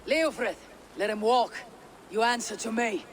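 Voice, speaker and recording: An actor playing a resolute, commanding but merciful Viking warrior leader in a video game. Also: male voice, high voice, a little slow, slight background noise. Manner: firm, commanding. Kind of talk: dramatic video game acting.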